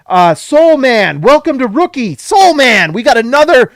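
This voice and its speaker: A man hosting a casual basketball reaction, excitable and emphatic, repeating lines and correcting himself, slightly hoarse. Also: very high voice, measured pace, dry recording, clean studio sound. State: losing his voice. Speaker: sports channel host.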